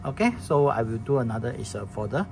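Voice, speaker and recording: A man's voice: medium pitch, very animated, fast talker, creaky. A man walking viewers through a product setup, talking plainly with many restarts and fillers. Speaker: product demonstrator. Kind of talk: casual product demo walkthrough. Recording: noisy room recording.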